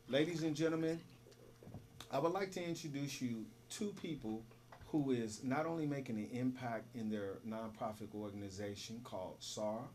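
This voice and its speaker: A man's voice: medium pitch, a little mellow, slight background noise. A man hosting a business talk show, giving formal, announcer-like introductions to his guests.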